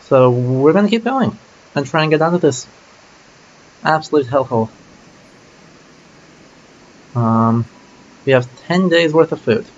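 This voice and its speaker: A man's voice: a little high, animated, unhurried, long pauses. Man, game streamer, casual thinking-aloud commentary, slightly dull sound, faint hiss. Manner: casual, musing aloud while playing. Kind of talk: let's play commentary.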